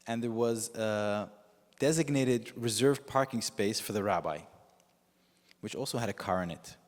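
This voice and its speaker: A man whose first language is Yiddish, preaching as a rabbi in a measured storytelling way with frequent pauses. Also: fairly low pitch. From United States. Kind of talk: sermon. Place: synagogue sanctuary with long reverb. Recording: reverberant hall.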